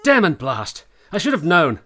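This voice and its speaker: A man's voice: on the high side, wildly swooping pitch, normal speed, bright, forceful tone, heavily acted. A man playing an irascible, sarcastic army doctor in a period radio play, blustering and exasperated. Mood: angry, self-blaming.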